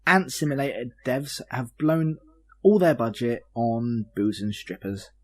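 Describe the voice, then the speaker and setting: Guy hosting a casual podcast, bit husky, normal pitch. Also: a little slow, a little mellow. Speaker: podcast host. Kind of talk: casual panel chat about games news.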